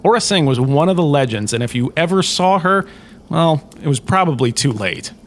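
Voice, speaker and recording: A man's voice: on the high side, animated, moderate pace, a little hiss or hum. A man giving a scripted history lecture as a host, casual and wry with emphatic points. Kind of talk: scripted lecture-style narration of fictional history.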